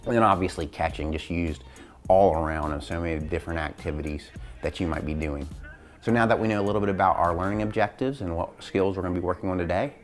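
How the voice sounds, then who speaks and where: Low-voiced man presenting a school gym lesson. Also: quick pace, faint music behind. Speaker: physical education teacher hosting a lesson video. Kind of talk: upbeat instructional video narration to students.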